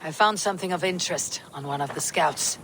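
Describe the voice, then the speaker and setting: A woman's voice: sits low, fairly quick, a bit bright, slight background noise, poor audio. An actress playing a bold Viking woman war leader in a video game, speaking with terse, unyielding confidence. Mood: confident. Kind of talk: video game drama acting.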